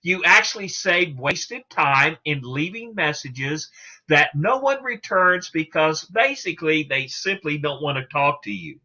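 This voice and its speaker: A man's voice: fairly high pitch, very animated, unhurried, bright, forceful tone. A man giving an instructional talk as a sales coach, emphatic and salesman-like, putting rhetorical questions to the listener. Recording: dull, lo-fi sound.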